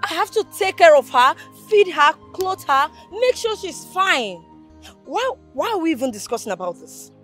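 Caring young nigerian woman, really high swoopy voice, earnest n pleading, film drama w faint music. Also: big pitch swings, moderate pace, fairly bright tone, heavily acted. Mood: indignant. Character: protective, caring young woman, loyal childhood friend.

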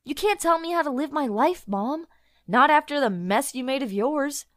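A woman's voice: high voice, animated, moderate pace, pitched above the performer's own voice. A woman voicing a headstrong teenage girl who snaps back defiantly at her mother.

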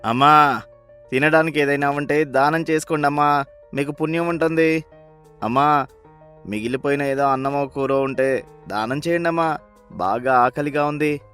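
A man's voice: on the high side, normal speed, long pauses, fairly bright tone, clear, resonant tone, faint music behind, lightly acted. A man playing a humble, hungry beggar in a story, speaking in a pleading, deferential way.

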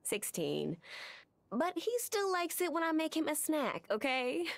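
A woman's voice: high-pitched, big pitch swings, a little slow, creaky, slight background noise, exaggerated voice. A woman playing a blunt, sarcastic young flower shop keeper in a video game. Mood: sarcastic, defensive. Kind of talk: video game character acting.

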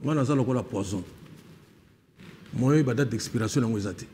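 A man's voice: mid-range voice, brisk, a little hiss or hum. A man, a musician guest on a TV studio talk show, speaking easily and mixing Lingala with French. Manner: relaxed, lists names, mixes Lingala and French. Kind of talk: casual studio interview. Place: TV studio set.

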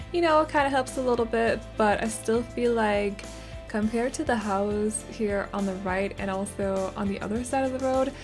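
A young woman narrating a game building video in a chatty, casual voiceover, her voice a little rough and strained, often correcting herself and giggling. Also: medium pitch.

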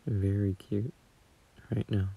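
A man playing a gentle, shy, doting young boyfriend in a sleep-aid audio roleplay, murmuring softly and hesitantly close to a phone mic. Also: lowish voice, steady tone, slow pace, full character voice, poor audio. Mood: tender, adoring. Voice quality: husky, soft, breathy murmur.

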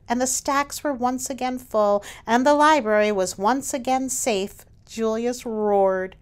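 A woman reading a children's picture book aloud as its author, expressively, with dramatic emphasis on key words. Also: mid-range voice. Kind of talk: expressive storybook read-aloud.

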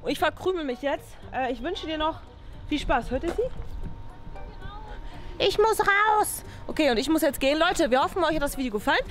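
A woman's voice: high voice, lively intonation, brisk. A young woman chatting casually as a video host, recorded outdoors on a camera. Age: young adult. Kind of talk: casual challenge vlog chat. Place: outdoors by an open car boot in a parking lot. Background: background music.

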